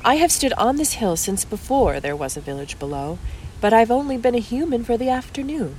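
A woman's voice: a little high, expressive, fairly quick, a little mellow, a little hiss or hum, lightly acted, poor audio. A woman playing an ancient oak tree newly turned human, earnest and full of wonder, in a radio play.

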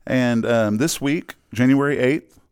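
A man co-hosting a film podcast, who talks in a relaxed way with frequent fillers and short agreeing replies. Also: medium pitch, a little slow, clean tone. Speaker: podcast co-host and producer. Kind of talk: casual podcast chat.

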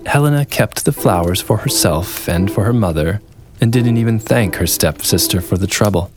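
Deep-voiced man narrating a fairy tale. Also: expressive, normal speed, music in the background. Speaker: storyteller.

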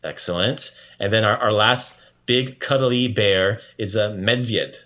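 A man's voice: on the low side, slow, sharp tone. A man hosting a podcast language lesson in a casual, playful and encouraging way. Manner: encouraging, praising the learner often.

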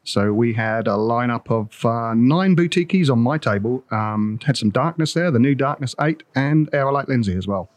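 A man's voice: mid-range voice, normal speed, few pauses, no room sound. A man co-hosting a podcast, chatting in an easygoing way with many ums. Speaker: podcast co-host. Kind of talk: casual chat. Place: warehouse with a bottling line.

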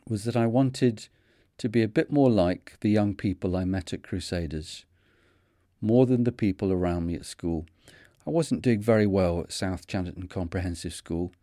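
Podcast host in his fifties, Manchester accent, low mellow voice, dry close sound, earnest reading. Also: no echo. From North of England. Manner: measured, earnest storytelling. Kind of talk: scripted reflective narration.

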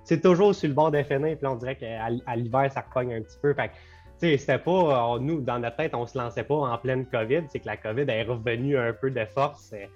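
A man's voice: mid-range voice, fairly quick, a little mellow, soft background music. A young man with a Quebec French accent, a business co-owner talking loosely and at length in a casual podcast conversation, dropping in English words. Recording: slightly dull lo-fi sound.

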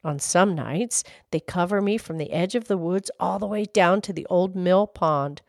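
A woman's voice: fairly low pitch, moderate pace, creaky, no room sound, studio quality. A woman voicing a chatty little brook in a folk tale, babbling and pleased with itself. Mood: indignant chatter. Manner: babbling, murmuring.

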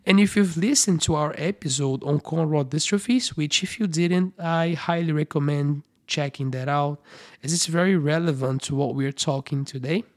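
A man's voice: a little high, moderate pace. A man, a medical doctor, hosting a podcast and explaining eye research in simple, friendly terms, often checking in with "right?".

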